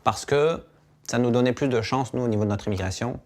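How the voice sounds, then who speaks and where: A man, telling his immigration story in a relaxed testimonial interview, with hesitant fillers. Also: medium pitch, a little fast. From France. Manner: relaxed, hesitant with fillers, animated when quoting.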